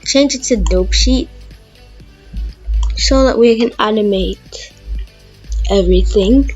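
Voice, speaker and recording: A woman hosting a casual software tutorial, talking informally and thinking aloud as she demonstrates. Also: fairly high pitch, takes it slowly, slightly rough. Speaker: tutorial host. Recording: dull lo-fi mic, background music.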